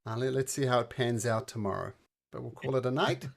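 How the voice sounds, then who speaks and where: Middle-aged male game master, gravelly voice, relaxed rules explainer. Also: medium pitch, fairly quick. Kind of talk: running a tabletop game stream.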